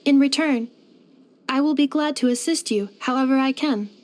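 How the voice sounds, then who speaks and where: Actress as female robot helper for a game: high voice, formal, precise, literal-minded, unfailingly polite. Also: moderate pace, a little mellow, lightly acted. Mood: earnest, curious. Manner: formal, precise, clipped system-report phrasing. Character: polite, helpful female robot maid companion, earnest and literal. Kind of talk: scripted video game character voice lines.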